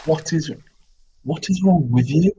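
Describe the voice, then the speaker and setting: An exasperated male adventurer acted by a player at the table speaks in halting disgust. His voice is raised and husky, as in an improvised roleplay game. The audio is a little dull. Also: on the high side, warm tone, pitched above the performer's own voice. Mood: appalled. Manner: halting, appalled.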